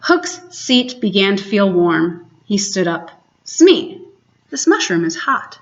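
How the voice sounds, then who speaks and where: A woman storyteller reading a children's bedtime story aloud in a measured, expressive way with dramatic emphasis. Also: mid-range voice, muffled recording. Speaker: library storyteller, host.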